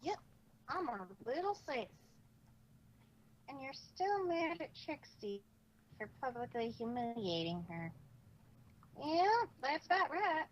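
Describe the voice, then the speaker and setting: Woman voicing young country girl pony, high lively pitch, blunt, feisty, slight background noise. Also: slow pace, long pauses, highs cut off, exaggerated voice.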